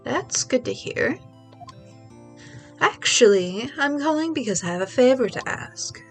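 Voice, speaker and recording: A woman with a stuffy voice voicing a calm, measured older sister who is a lawyer, gently teasing in a video game read-along. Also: sits high, faint music behind.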